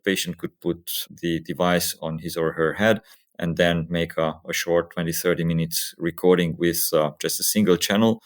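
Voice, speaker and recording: Low-voiced male researcher explaining methodically. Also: steady tone, normal speed. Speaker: medical researcher being interviewed. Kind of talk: explanatory interview talk on research.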